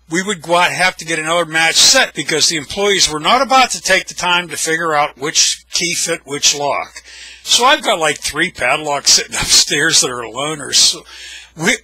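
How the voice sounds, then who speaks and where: A man's voice: on the high side, bright, forceful tone, slightly rough, no echo, low-quality recording. An older man with an American accent, telling anecdotes casually in a blunt, wry, salty way. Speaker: storyteller, video blogger.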